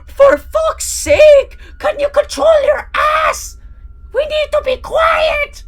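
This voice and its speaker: A woman voicing a manic, villainous cartoon man in an exaggerated mock accent, with a strained high voice and loud outbursts. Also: takes it slowly, full character voice. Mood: furious shrieking.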